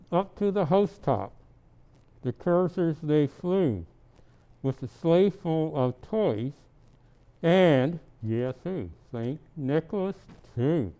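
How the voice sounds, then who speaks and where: Storybook Santa played by a man: gentle, grandfatherly, unhurried, clear resonant voice with a bright edge removed. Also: on the high side. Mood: animated storytelling. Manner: slow, deliberate, kindly, grandfatherly. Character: jolly, gentle old Santa Claus. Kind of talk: storybook reading with chatty asides.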